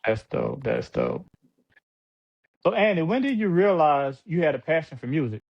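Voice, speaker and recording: A man's voice: a little high, huge pitch swings, moderate pace, creaky. A man hosting a podcast, asking questions in a laid-back, slangy, hype-man way. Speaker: podcast host. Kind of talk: casual podcast interview. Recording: dull lo-fi sound.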